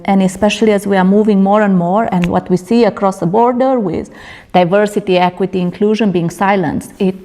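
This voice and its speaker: A woman's voice: mid-range voice, brisk, mellow. A middle-aged woman from Romania presenting her doctoral research at a conference, speaking earnestly with frequent fillers and restarts. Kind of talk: academic conference talk. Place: conference room.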